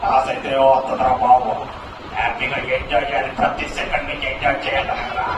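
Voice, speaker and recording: A man's voice: mid-range voice, completely flat delivery, fast, lightly acted. A man playing a sly, carefree devil in a puppet show, talking casually and teasing as he coaxes. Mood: sly, coaxing. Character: sly, carefree male devil luring a schoolboy to hell. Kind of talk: comic puppet show dialogue. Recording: echoey, distant, noisy live show sound.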